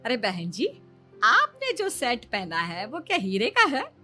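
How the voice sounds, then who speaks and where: A woman's voice: a little high, wildly swooping pitch, a little slow, pushed and bright, faint music behind, exaggerated voice. A woman playing a greedy, bossy middle-aged mother-in-law who is pushy, scolding and wheedling, in a cartoon story.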